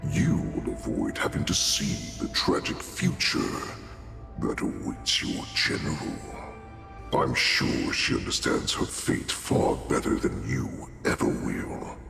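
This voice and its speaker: An actor playing a menacing, gloating beast-warlord villain in a video game, with a gravelly growl and a slow, taunting delivery. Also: male voice, rumbling low, fairly bright tone, reverberant, heavily acted. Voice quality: growling, gravelly, breathy rasp. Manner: slow, taunting, savoring each threat. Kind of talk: dramatic video game voice acting.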